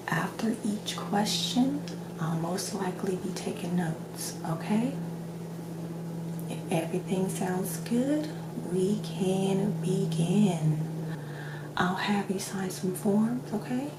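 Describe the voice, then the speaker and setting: A woman plays a doctor in soft-spoken ASMR, with slow, gentle delivery that often drops to a whisper. Her questioning is measured and clinical. The recording is roomy and echoing, with clear background noise. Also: medium pitch, animated. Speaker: ASMR creator playing a doctor.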